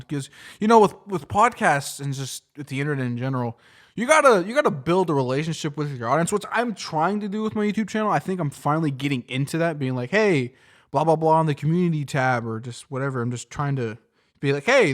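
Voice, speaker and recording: A man's voice: fairly high pitch, fairly bright tone. A young adult man co-hosting a podcast, chatting casually in a rambling way and swearing often. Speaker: podcast co-host. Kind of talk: casual chat.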